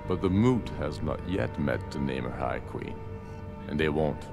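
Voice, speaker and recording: An actor playing a proud, grave, battle-hardened middle-aged rebel leader in a fantasy video game, speaking in a solemn, measured, oratorical way. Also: male voice, extremely low pitch, expressive, creaky, exaggerated voice. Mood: resolute, indignant. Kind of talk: scripted video game dialogue. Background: background music.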